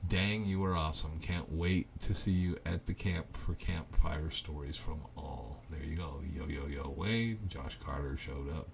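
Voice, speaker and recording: Gravelly deep-voiced man chatting. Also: a little mellow, slight background noise. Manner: rambling, chatty, self-interrupting. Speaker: livestream host. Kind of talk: casual livestream chat reading viewer comments.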